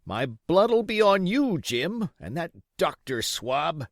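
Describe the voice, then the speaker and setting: Man acting a desperate old pirate seaman, high-strung and swooping. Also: sing-song, slow, no room sound, studio quality, full character voice. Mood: indignant, pleading. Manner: rough nautical dialect, pleading and cursing.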